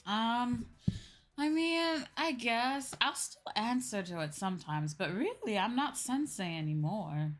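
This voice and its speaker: A woman's voice: on the high side, animated, unhurried. A woman streamer chatting casually with her viewers, explaining in an informal, repetitive way and often checking in with "right?". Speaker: streamer, VTuber host. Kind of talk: casual livestream chat answering viewers. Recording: faint background music. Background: faint music.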